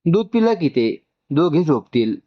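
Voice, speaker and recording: A man voicing a calm, amused middle-aged mother, soothing and patient, in a narrated story. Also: a little high, measured pace, lo-fi sound.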